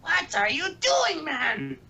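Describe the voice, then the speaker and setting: A man's voice: very high-pitched, wildly swooping pitch, takes it slowly, gravelly, sharp tone, voice pushed up, heavily acted. A man voicing a bossy, greedy dog who leads a small gang in a story. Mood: bossy, agitated.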